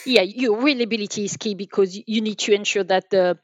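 A woman explaining things as an expert guest in a podcast interview, haltingly, often repeating and rephrasing her phrases. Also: medium pitch, dry recording, lo-fi sound. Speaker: guest, enterprise sales manager.

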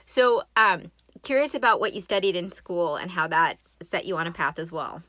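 A woman's voice: on the low side, normal speed, bright, forceful tone, no room sound. A woman speaking as a podcast host, introducing and interviewing a guest in a prepared style.

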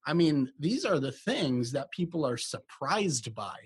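A man hosting a business podcast, talking in a casual, animated way. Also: on the high side, slow pace, slightly rough. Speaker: podcast host.

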